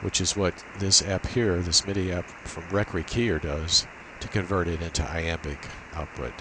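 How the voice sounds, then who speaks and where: A low-pitched man talks through a technical demo in an informal, halting way, as if working it out aloud. The recording carries a clear, steady hiss from a cheap built-in laptop microphone. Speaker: amateur radio operator giving a tech demo. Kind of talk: informal screen demo walkthrough.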